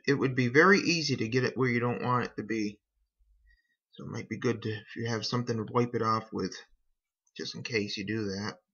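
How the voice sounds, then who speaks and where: A man giving a casual how-to narration in an unhurried, folksy way, talking through each step as a hobbyist. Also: mid-range voice, bright, forceful tone, slightly rough. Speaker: hobbyist demonstrating a repair. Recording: dull, lo-fi home recording.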